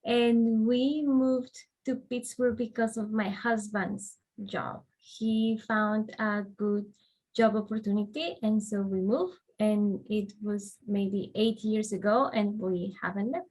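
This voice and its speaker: A woman from Colombia with a Colombian accent, a guest in an interview, explaining her work thoughtfully at length with soft fillers. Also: mid-range voice, fairly even intonation, slow, slightly rough, low-quality recording. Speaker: guest, director of refugee and immigrant services. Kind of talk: conversational interview answers.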